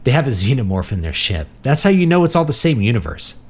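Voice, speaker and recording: Confused old man played by a male narrator, giggly and self-amused, rambling storybook character with highly animated, swooping pitch. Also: quick pace.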